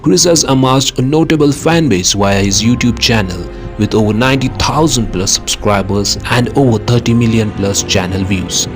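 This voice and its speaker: A man's voice: medium pitch, normal speed, hardly any pauses. A man hosting a podcast, reading scripted outro credits and appeals to listeners. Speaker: podcast host. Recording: clear music bed behind voice.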